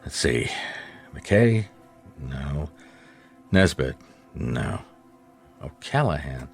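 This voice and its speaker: An actor playing a gruff, world-weary private investigator in a radio drama, dry and terse. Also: male voice, rumbling low, sing-song, extremely slow, soft background music, exaggerated voice. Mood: businesslike.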